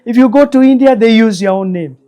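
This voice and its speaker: An older man, a guest elder recalling the past in slow, measured speech. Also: extremely high pitch, warm tone. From Kenya. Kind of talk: reflective storytelling interview.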